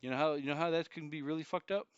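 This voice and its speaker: A man's voice: on the high side, fairly even intonation, rapid-fire, dull audio, very noisy. A man in his forties co-hosting a podcast, chatting casually with a joking, profane manner. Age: 40s. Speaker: podcast co-host. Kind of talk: casual chat.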